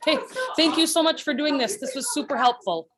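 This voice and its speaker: A woman's voice: high-pitched, brisk, background noise. An American woman speaking casually as a participant in a meeting.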